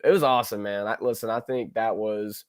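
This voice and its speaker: A man's voice: fairly low pitch, steady tone, fairly quick, no room sound, clean studio sound. A man with a nasal voice co-hosting a sports podcast, self-deprecating and enthusiastic. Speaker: podcast co-host. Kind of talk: casual sports podcast chat.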